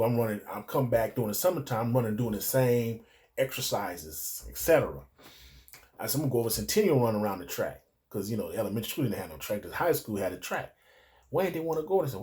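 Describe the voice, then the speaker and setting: A man's voice: mid-range voice, big pitch swings, fast, darkish tone. A middle-aged American man telling personal stories casually, in a rambling, digressive way with street slang. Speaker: storyteller recalling his youth.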